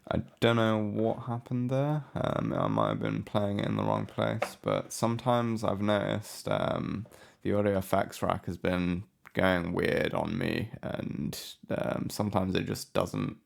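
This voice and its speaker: A man giving a casual software tutorial in a hesitant, offhand, unscripted way. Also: on the low side, a little slow, creaky. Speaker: music production tutorial host. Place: indoor room with a window.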